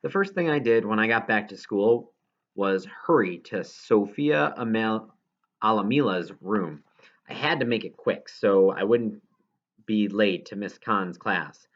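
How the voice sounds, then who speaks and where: Low-voiced man reading a story, lively intonation. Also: measured pace, dull audio. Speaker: audiobook reader. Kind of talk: scripted story narration of a children's novel in first person.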